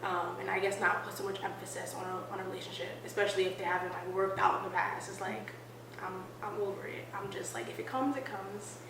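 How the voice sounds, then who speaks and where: A twenty-six-year-old woman speaks candidly and chattily about herself. She sounds a bit far from the mic in a very echoey space. A clear hum runs underneath. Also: medium pitch, fairly even intonation, fast talker. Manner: candid, chatty, says "like" often. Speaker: singer-songwriter. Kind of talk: casual interview answers.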